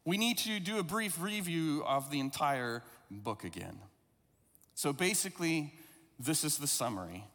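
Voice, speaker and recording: South African man preaching, high voice. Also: sharp tone, big-room sound. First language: not English. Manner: reflective, conversational preaching with occasional self-deprecating asides. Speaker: pastor. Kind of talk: sermon.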